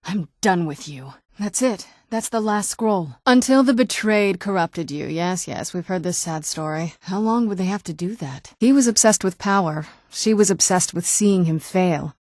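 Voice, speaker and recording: A woman acts out a young female vampire noblewoman from a fantasy video game. Her voice sits fairly low and is recorded close and dry. She is wry and guarded, with an old hurt under earnest words. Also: normal speed, few pauses, darkish tone, no room sound, natural voice. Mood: bitter. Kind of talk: video game character dialogue.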